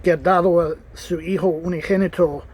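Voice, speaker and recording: High-voiced man vlogging about Bibles. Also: steady tone, a little fast, a little mellow, poor audio. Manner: earnest, rambling, with restarts and asides. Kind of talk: casual talk to camera.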